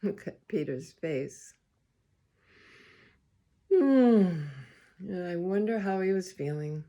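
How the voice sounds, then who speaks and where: Slow-paced woman reading to young children. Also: fairly low pitch, lo-fi sound. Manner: expressive, gentle pauses, talks to young children. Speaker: storybook reader, school well-being coordinator. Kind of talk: children's picture book read-aloud with questions to listeners.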